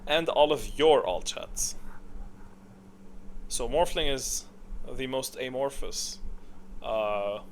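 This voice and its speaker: A man's voice: medium pitch, slow pace, pauses often, slight background noise. A man hosting a video in casual, unscripted gaming commentary, speaking in a blunt, opinionated way. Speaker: video host ranking game voice lines.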